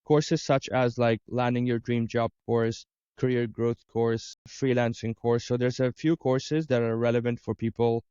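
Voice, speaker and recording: Mellow, smooth-voiced man from Dubai. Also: mid-range voice, steady tone, a little slow, no echo, dull audio. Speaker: interview guest, career coach and business owner. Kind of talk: conversational interview answers.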